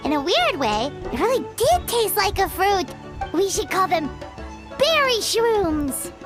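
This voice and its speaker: Tiny childlike floating game companion, extremely high, animated voice, chirpy and exclaiming, over loud music. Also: female voice, unhurried, exaggerated voice. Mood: chatty, pleased. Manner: refers to self in third person, exclaiming. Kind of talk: video game cartoon voice acting.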